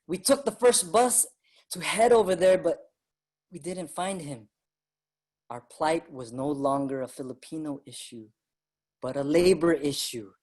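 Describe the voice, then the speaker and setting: An actor playing an earnest Filipino migrant writer and activist, narrating dramatically in a staged reading. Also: male voice, a little high, a little slow, pauses often, darkish tone. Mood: reflective, grave.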